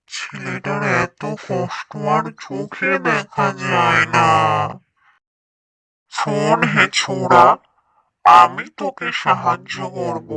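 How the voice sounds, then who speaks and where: Man voicing a slow, bright-voiced old ghost woman. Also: high voice, heavily acted. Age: older. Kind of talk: Bengali horror-comedy cartoon voice acting.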